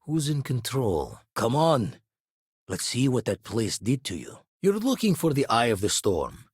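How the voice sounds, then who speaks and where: A seasoned spaceman and former pirate for a video game. His voice is husky and very expressive in pitch, recorded dry and close. He talks in clipped, folksy phrases, gruff and world-weary but loyal. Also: unhurried, dry recording, exaggerated voice. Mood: steady, weathered. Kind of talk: video game voice acting, dramatic dialogue.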